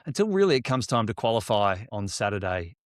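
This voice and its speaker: A man's voice: on the low side, very animated, fairly quick, no echo. Friendly male podcast host, dry sound. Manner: friendly, asks questions, says "mate". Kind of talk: casual chat.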